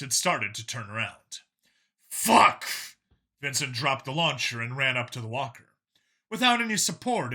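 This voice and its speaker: Bright, animated male story narrator. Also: medium pitch. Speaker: audiobook-style story narrator. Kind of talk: dramatic scripted fiction narration.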